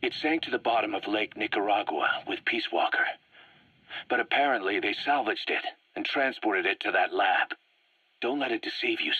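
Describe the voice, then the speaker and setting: A distrustful military second-in-command in a video game, low gravelly husky voice, briefing firmly, dull recording. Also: male voice, bright, forceful tone, dry recording. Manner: measured, authoritative briefing delivery. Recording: dull, dry radio-like cassette recording.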